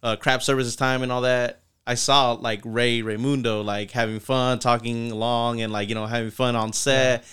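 A man co-hosting a podcast, chatting quickly and affirming his guest often. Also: medium pitch, level tone, a bit bright, clean tone. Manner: quick, affirming, many backchannels. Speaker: podcast co-host. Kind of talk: casual podcast chat.

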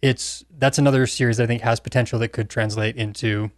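A man discussing films and games casually on a podcast, explaining his ideas in a thoughtful, measured way. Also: medium pitch, steady tone, no room sound, clean studio sound. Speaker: podcast panelist. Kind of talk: casual film and game discussion.

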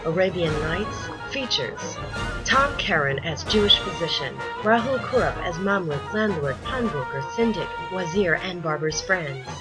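Female credits announcer, slightly low pitch, scripted read, clear music bed underneath. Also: lively intonation, a little slow, low-quality recording.

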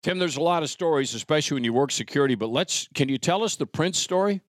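A man's voice: a little high, animated, fast, no room sound, studio quality. A man hosting an interview show in a chatty, emphatic way, speaking directly to the listener. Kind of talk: casual hosting with conversational sponsor reads.

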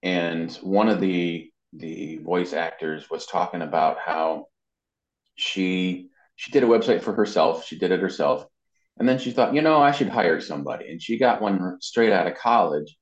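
A man's voice: low-pitched, moderate pace. A man speaking conversationally as an interview guest, explaining social media marketing practice. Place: indoors. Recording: muffled, band-limited, roomy echo.

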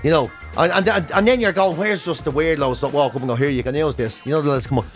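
A man's voice: a little high, quick pace, faint music behind. An Irish man in casual comic chat, a sweary co-host who rants and acts out his anecdotes. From Ireland. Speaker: comedian and podcast co-host.

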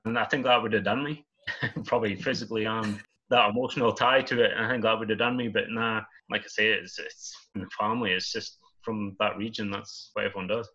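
Bright-voiced Scottish man on a dull line. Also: on the low side, fairly quick, breathy edge. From Scotland. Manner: relaxed, rambling, easygoing. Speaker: guest. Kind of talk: casual interview chat.

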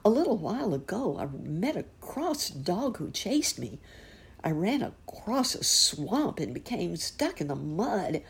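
A sad little storybook tomcat, worried he has lost his place in the tales, his voice lifted high and trembling, as a woman reads him aloud. The sound is breathy and raspy, with a creaky edge. He swings up and down in pitch. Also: very animated, a little slow, full character voice. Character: sad fretful male storybook cat.

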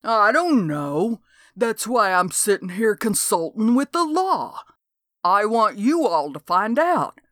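A woman voicing a suspicious, superstitious old country man with a slow drawl, for an audiobook. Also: high-pitched, sing-song, fairly bright tone, no room sound, clean studio sound, pitched above the performer's own voice, heavily acted. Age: older. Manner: rural drawl, slow and conspiratorial.

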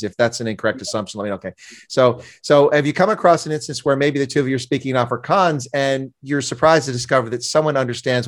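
A man hosting an interview, chatting in long rambling questions and laughing often. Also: mid-range voice. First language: English. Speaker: interview host. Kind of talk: casual interview.

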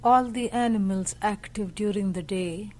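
A woman leading a guided meditation as a teacher, speaking gently in short, measured phrases with pauses. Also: mid-range voice. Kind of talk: slow guided meditation narration.

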